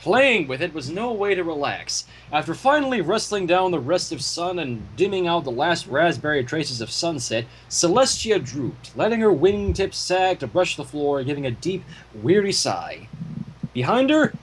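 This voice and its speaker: Male host reading fan fiction, irreverent, lively intonation, slightly raspy tone. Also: fairly high pitch, moderate pace, rough recording. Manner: irreverent, profane asides. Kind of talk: story reading with casual commentary.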